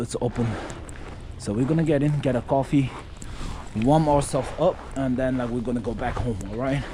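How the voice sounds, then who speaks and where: A man's voice: medium pitch, warm tone. Chatty man in a snowstorm. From Nepal. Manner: chatty, friendly, talks straight to the viewers. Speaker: vlogger. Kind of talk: casual walking vlog. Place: outdoors on city streets in a snowstorm. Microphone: handheld camera. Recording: heavy wind and street noise.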